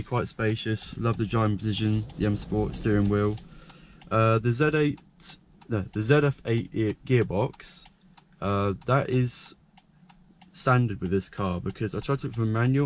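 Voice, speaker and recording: A young man with a croaky, fairly low voice rambles casually, full of fillers and self-corrections. He is recorded on a handheld action camera, in and around a parked car, with faint outdoor ambience underneath. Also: moderate pace, a little hiss or hum. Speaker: car vlogger. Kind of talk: casual point-of-view car walkaround. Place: parked car by a country lane, outdoors and inside the car. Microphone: action camera held by hand.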